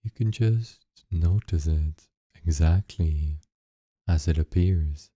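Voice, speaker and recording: Very deep, slow male meditation guide. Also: husky, no room sound, highs cut off. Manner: gentle, unhurried instructions with long pauses.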